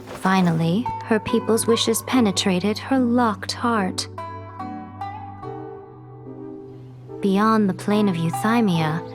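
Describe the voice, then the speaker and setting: A woman's voice: mid-range voice, natural voice. A woman playing an elegant, worldly shrine priestess in a video game, poised, refined and playful. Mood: poetic, reflective. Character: sly, elegant, teasing shrine priestess, worldly and self-assured. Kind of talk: video game cutscene acting and narration. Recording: music behind the voice.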